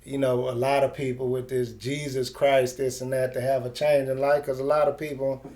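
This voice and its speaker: Mellow-voiced man chatting casually. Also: mid-range voice, little pitch movement, moderate pace. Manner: informal, slang-heavy, profane. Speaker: interviewer. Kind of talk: casual street interview chat.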